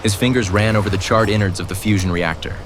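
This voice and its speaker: A man's voice: fairly low pitch, quick pace, noisy background. A man narrating a scripted audio drama as a dramatic storyteller.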